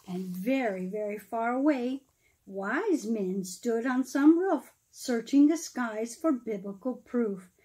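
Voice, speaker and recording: A middle-aged woman reads a rhyming children's story aloud in an expressive, dramatic manner, pausing for emphasis. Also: a little high, rough recording. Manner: dramatic, emphatic phrasing with pauses, explaining asides to children. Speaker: pastor reading a children's story.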